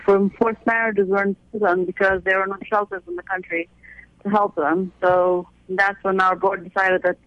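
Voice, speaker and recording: A man's voice: high voice, level tone, moderate pace, fairly bright tone, no echo. A man from Afghanistan who was raised in the United States, earnestly explaining his women's aid organization in a radio interview, often restarting his sentences. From born in Afghanistan, raised in the United States. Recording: dull lo-fi audio.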